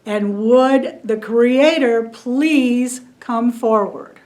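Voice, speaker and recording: A woman voicing a haughty, sly male king of the gods who speaks in a pompous, slow, deliberate way. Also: a little high, a little mellow.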